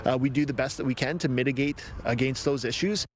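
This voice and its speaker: A man speaking as a local politician in an unscripted interview, hesitant and measured. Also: medium pitch, fairly even intonation. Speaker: city councillor. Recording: faint outdoor traffic noise.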